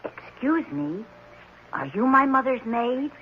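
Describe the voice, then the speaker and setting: A woman's voice: fairly high pitch, big pitch swings, takes it slowly. A woman playing an earnest, polite and determined young boy in a 1970s radio drama. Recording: dull, slightly noisy 1970s radio recording.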